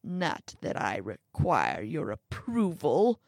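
A woman voicing a sinister, irascible old male villain in an audiobook, with a slow, grumbling, contemptuous delivery. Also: low voice, huge pitch swings, darkish tone, no echo, studio quality, heavily acted. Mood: grudging.

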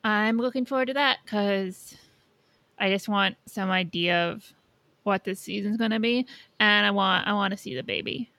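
Podcast co-host, woman. Low-key, informal, a bit tired and under the weather, recorded dry. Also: moderate pace, pushed and bright, no room sound. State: a little sleepy and under the weather. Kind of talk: casual podcast chat.

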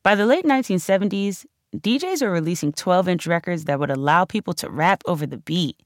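A woman narrates a scripted audiobook with the ease of someone telling a story to friends. Her voice sits slightly low but moves with highly varied intonation, leaning into key phrases. The recording is close and very dry. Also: lively intonation, fairly quick, no room sound. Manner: conversational, emphatic storytelling with casual slang. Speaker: author narrating own audiobook.

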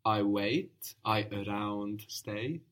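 A man's voice: lowish voice, very slow, fairly bright tone. A man teaching a language lesson, patiently prompting his student with questions and brief praise.

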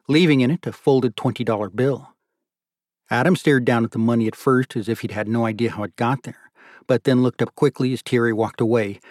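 A man narrating literary fiction from a script in a measured, deliberate storytelling manner. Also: mid-range voice, darkish tone, no room sound, clean studio sound. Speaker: audiobook narrator.

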